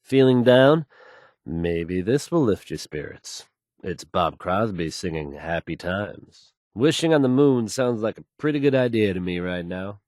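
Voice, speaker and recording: Low-voiced, assured radio DJ. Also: male voice, expressive, a little slow, darkish tone, no echo, studio quality. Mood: smooth, confident. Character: smooth, confident, suave male radio DJ. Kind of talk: polished radio song introductions.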